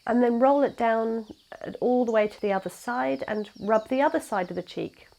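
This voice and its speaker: A young woman teaching a gentle yoga class, her voice congested and nasal from a cold, guiding slowly and calmly. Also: on the high side, gravelly. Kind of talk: guided yoga class instruction.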